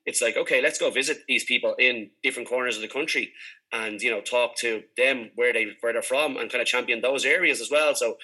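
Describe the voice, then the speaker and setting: A man with a Dublin accent talks at a brisk clip in a sharp, very bright voice. His delivery is informal and rambling, like a podcaster chatting casually in an interview. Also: mid-range voice, breathy edge, rough recording. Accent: Dublin Irish. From Dublin, Ireland. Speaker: podcast host being interviewed.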